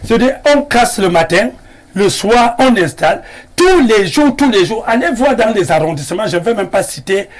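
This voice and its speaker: A man's voice: high voice, lively intonation, normal speed. A man with a Cameroonian accent debating politics as a panelist, often cutting in and restarting phrases to hold the floor. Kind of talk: heated televised political debate. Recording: overlapping voices of a crowded panel.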